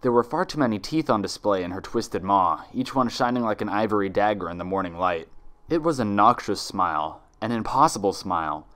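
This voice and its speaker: A man's voice: fairly low pitch, expressive, fairly quick, a bit bright. A man reading scripted fiction aloud as a dramatic story narrator.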